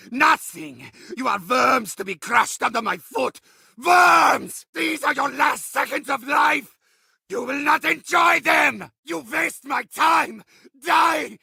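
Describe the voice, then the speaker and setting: A mad scientist villain, over a hundred years old, taunting and raging at the player in a video game. The voice is high-pitched and gravelly, with a sharp, strained edge. It is recorded dry and close in a studio. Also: male voice, dry recording, heavily acted. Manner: theatrical shouting tantrums and gloating taunts. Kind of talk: video game announcer voice lines.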